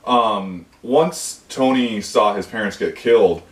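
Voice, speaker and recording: A man's voice: on the low side, slow. A man casually chatting about a movie, asking questions and swearing freely. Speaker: host of movie review channel. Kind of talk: casual profane movie chat. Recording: echoey room, far from the mic.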